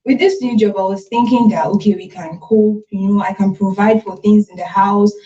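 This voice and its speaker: A woman's voice: medium pitch, fairly even intonation, normal speed, lightly acted. A woman playing a patient, worn-down working wife and mother with a Nigerian accent in a radio drama. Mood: dejected. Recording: dull lo-fi recording, distant mic.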